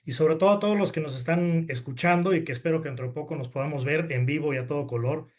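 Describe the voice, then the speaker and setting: A man's voice: a little high, fast, darkish tone. A middle-aged man with a Mexican accent, answering as a podcast guest in a grateful, earnest way. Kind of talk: interview answer.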